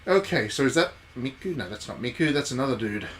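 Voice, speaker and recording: A man's voice: medium pitch, lively intonation, fast. Casual male game streamer with background noise. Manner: chatty, jokey, addressing viewers by name. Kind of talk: casual livestream commentary, reading game text aloud.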